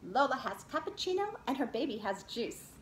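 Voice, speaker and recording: A middle-aged woman speaks to small children as their teacher, in an expressive storytime style. Her voice sits fairly high and swings widely in pitch. It is recorded from a short distance in an echoey space. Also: fairly quick. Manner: expressive, addressing young children. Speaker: preschool teacher reading aloud. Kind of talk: children's picture book read-aloud. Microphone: camera a short distance away. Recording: roomy echo, clear background noise.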